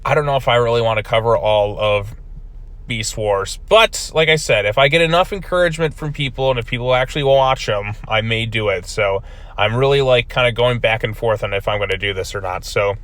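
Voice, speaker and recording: A man's voice: medium pitch, brisk, fairly bright tone. A man giving a casual video review of a comic book, rambling with many fillers, his voice still recovering from being lost.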